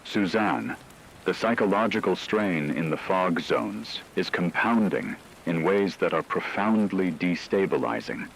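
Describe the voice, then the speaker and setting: A low-pitched man acting as a male news correspondent in a radio drama gives grave, measured disaster reports. His delivery is formal and scripted, over noisy rain-like ambience. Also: creaky. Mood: grave, ominous.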